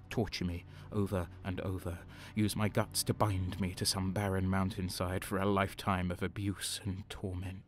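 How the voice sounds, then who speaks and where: An actor playing a haunted, horror-struck soldier who narrates his own nightmare in an intense, halting, theatrical audio drama style. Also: low-pitched, fairly bright tone. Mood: fearful intensity.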